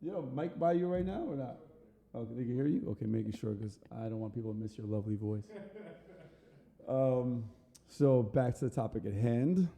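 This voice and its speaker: A man's voice: medium pitch, moderate pace, gravelly, dark tone. A man hosting an interview podcast, chatty and self-deprecating, laughing often. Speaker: podcast host. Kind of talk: casual interview conversation.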